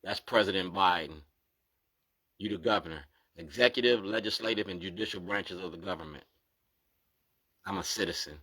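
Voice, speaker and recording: A man with a fairly low voice talks straight to the viewer in a plain monologue. He is insistent and keeps checking in with "you feel me?" as he presses each point. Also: normal speed, long pauses, bright, forceful tone, husky. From Baltimore, USA. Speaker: activist. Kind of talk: direct-to-camera monologue going through documents.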